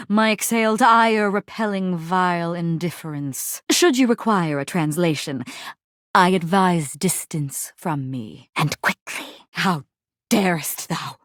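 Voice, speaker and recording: Brooding, theatrical war-weary game commander. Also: female voice, fairly low pitch, no room sound, clean studio sound. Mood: disdainful, brooding, then indignant, proud. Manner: theatrical, archaic, poetic diction with solemn pauses. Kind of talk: dramatic video game character voice acting.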